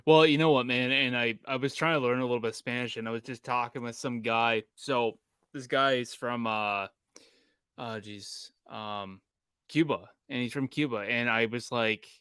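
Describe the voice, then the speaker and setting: A man chatting as a podcast co-host. He stops and restarts often as he tells a story. His voice is smooth and resonant, and the recording is dry and close. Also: mid-range voice, fairly even intonation, fairly bright tone, dry recording. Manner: halting, full of restarts while telling a story. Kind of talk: casual chat.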